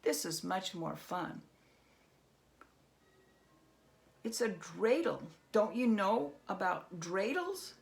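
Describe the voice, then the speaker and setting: A sly storybook trickster, a man played by a woman, talks in an unhurried, gravelly-edged voice. He toys with his listener as if he has all the time in the world. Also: medium pitch, very animated, exaggerated voice. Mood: playful. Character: sly, unhurried man outwitting a goblin at dreidel. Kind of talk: storybook character voice.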